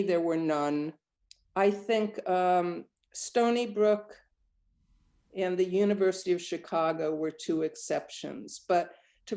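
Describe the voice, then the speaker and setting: A woman's voice: on the low side, warm tone. Reflective older woman composer. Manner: reflective, searching, with frequent ums. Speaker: composer, interview guest. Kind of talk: thoughtful conversational interview.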